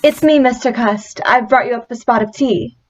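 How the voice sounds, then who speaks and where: A woman playing a kindly, coaxing young landlady's daughter in an old-time radio drama, with a gentle manner. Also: fairly high pitch, moderate pace, natural voice. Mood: coaxing, fond.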